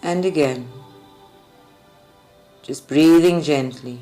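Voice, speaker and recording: Meditation guide, female, unhurried delivery with long pauses, soft music underneath. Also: deep voice. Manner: deliberate, measured phrasing with long pauses. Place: group meditation at a creativity centre. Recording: live recording with music behind. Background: faint music.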